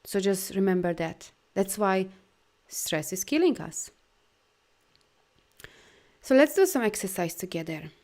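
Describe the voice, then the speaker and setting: Encouraging Polish-accented woman coaching live. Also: mid-range voice. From Poland. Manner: animated and encouraging, with loose non-native grammar and frequent "you know"; soft, slow guidance during breathing. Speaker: life coach and energy healer. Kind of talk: live video talk with a guided exercise.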